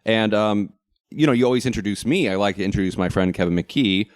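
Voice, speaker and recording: An American man co-hosting a podcast, chatting casually with wry, animated humor. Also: fairly low pitch, a little fast, no room sound, studio quality. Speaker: podcast co-host.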